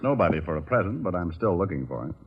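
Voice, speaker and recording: An actor playing a cool, wisecracking American private detective with a dry, sardonic manner in an old radio drama. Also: male voice, sits low, very animated, fast talker, slightly rough, exaggerated voice. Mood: cool, needling. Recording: muffled old radio recording.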